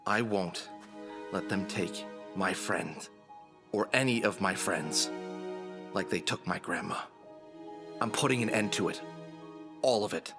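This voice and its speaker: A man's voice: low-pitched, unhurried, long pauses, music in the background. A man playing a determined fox adventurer who leads his team earnestly in an audio drama. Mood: grim resolve.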